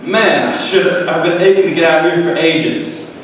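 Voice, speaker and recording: A man's voice: on the high side, steady tone, moderate pace, natural voice. A man playing an impatient, insolent younger son in a dramatic reading, brash and sarcastic. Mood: restless, brash. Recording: echoey hall, distant mic, faint noise.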